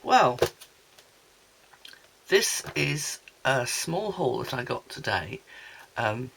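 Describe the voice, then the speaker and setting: Hoarse gravelly woman chatting. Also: extremely low pitch, big pitch swings, extremely slow, background noise. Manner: chatty, rambling, many ums and asides. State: hoarse, gravelly from a sore throat. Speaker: craft vlogger. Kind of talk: casual product haul chat.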